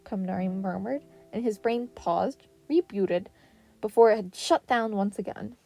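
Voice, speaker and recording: A woman narrates a fan fiction podfic in a soft, mellow voice. Her storytelling is expressive and sits at a medium pitch, with music playing underneath. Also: normal speed, vocal fry.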